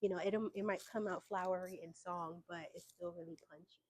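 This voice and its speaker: A young adult woman, telling her story as an interview guest in a casual, relaxed way with fillers and easy laughs. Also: fairly low pitch, brisk. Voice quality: soft voice. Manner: relaxed, frequent fillers, laughs between points.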